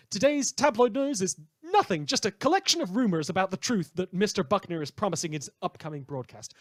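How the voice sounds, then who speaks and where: A man playing a brooding, dramatic host of a post-apocalyptic town radio show, delivering theatrical monologues with heavy pauses. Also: high voice, no echo, studio quality, pitched above the performer's own voice. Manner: theatrical, dramatic pauses, swinging from hushed to loud. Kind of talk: fictional community radio broadcast monologue.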